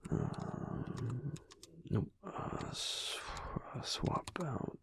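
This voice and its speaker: A man playing a tired, affectionate werewolf husband in an intimate audio roleplay, murmuring softly and haltingly in a breathy, husky near-whisper. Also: slight background noise. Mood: distracted, muttering. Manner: halting, soft, murmured with hums.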